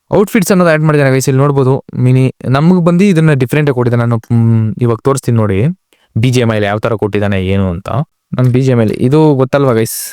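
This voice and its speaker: A man's voice: mid-range voice, dark tone, no echo, studio quality. A man giving casual game update commentary as a channel host, talking informally and directly to viewers with English words mixed in.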